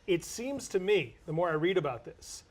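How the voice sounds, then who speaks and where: A man hosting a panel discussion, putting long, deliberate questions to his guests. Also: sits high, big pitch swings. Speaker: talk show host.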